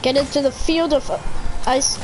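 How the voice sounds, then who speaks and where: High-voiced, playful woman gamer, music behind. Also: fairly even intonation, normal speed, poor audio. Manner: playful, chatty, slangy. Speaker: video game streamer. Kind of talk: casual gameplay commentary.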